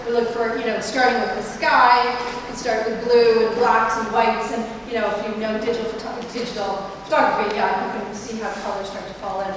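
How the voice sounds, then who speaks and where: A woman's voice: fairly high pitch, level tone, fairly quick, few pauses, a little hiss or hum. A woman in her thirties giving a guided tour of a gallery as its curator, chatty, with many ums and restarts. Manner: chatty, many ums and restarts, walking and gesturing while talking. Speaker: museum curator. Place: large gallery room, indoors. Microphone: handheld mic through a loudspeaker, recorded by a far camera. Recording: echoey gallery, speaker far off.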